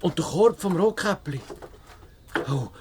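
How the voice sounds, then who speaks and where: A man's voice: high voice, expressive, unhurried, background noise. A man playing an observant, know-it-all little hedgehog detective in a children's radio play, earnest and insistent. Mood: alarmed.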